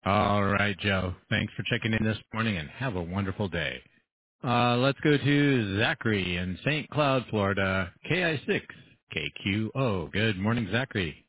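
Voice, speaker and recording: A man hosting an amateur radio net, calling each station in turn and thanking them in a brisk, friendly way. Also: lowish voice, lively intonation. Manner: brisk, friendly roll-call delivery. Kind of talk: radio net check-in roll call. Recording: phone-like radio audio with faint hiss.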